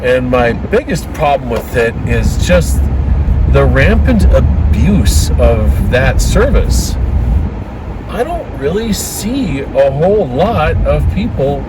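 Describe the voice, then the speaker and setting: A man talks in a loose, opinionated vlog ramble at an average pitch, full of fillers and restarts, his throat a little dry. He is recording in a car, with heavy road and air-conditioning noise behind him. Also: big pitch swings, takes it slowly. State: dry throat, occasional coughs. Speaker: ham radio vlogger. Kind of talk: casual rambling vlog talk.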